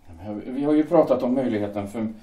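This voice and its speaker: A man playing a young German shipyard worker who speaks hesitantly and haltingly, torn by conscience. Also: medium pitch, dark tone, close to an everyday voice. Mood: troubled. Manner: hesitant, halting, repeats words. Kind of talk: amateur audio drama acting. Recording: echoey room.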